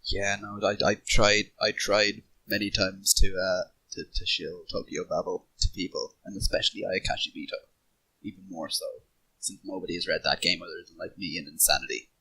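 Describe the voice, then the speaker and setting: Male podcast panelist, low and rough-edged but bright voice, rambling casual fan talk. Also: steady tone, moderate pace, rough recording.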